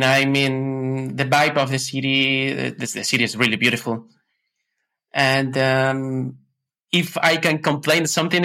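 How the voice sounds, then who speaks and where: A man from Ecuador with an Ecuadorian Spanish accent, chatting casually as an interview guest in slightly halting English. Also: mid-range voice, vocal fry, bright, forceful tone. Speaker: podcast guest, tech consultant.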